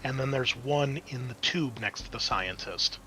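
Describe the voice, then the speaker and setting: Bright-voiced man running a horror roleplay game. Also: mid-range voice, unhurried, a little hiss or hum. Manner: casual, sweary, descriptive. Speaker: game master. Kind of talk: narrating a tabletop horror game.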